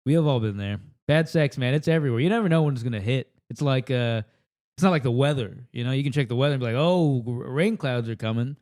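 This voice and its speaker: A man's voice: medium pitch, quick pace, darkish tone, no echo. Crude rambling man, dry close sound. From United States. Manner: crude, rambling, self-deprecating. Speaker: comedian contestant. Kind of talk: improvised comedy rant on given topics. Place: table in a house. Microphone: handheld microphone.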